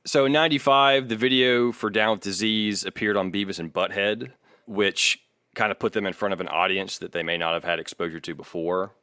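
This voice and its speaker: A low-voiced man talks as a podcast co-host, casual and often amused as he tells music history. His tone is bright and forward, and the sound is dry and close. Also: fairly even intonation, brisk, dry recording.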